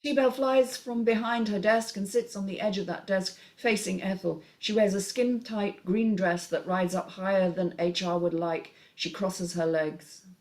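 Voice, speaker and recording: A woman's voice: medium pitch, fairly even intonation, measured pace, few pauses, a little mellow. A woman narrating a screenplay, reading out scene headings and stage directions as scripted narration.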